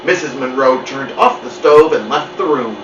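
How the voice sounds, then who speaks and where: A man reading a children's book aloud in an animated storytelling style. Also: mid-range voice, normal speed, noisy background. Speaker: story-time reader. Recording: muffled, roomy, distant mic.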